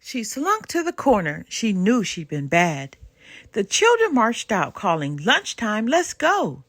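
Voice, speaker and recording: Slow, animated older woman reading aloud. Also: mid-range voice, no room sound. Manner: animated, unhurried sing-song rhyming delivery. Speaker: storybook reader. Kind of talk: expressive children's read-aloud.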